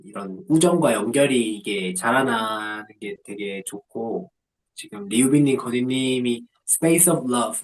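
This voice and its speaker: Fast-talking man hosting a call. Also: lowish voice, rough recording. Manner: brisk, relays others' words. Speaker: host and interpreter of an online group. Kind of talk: interpreting and hosting a video call. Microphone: video call.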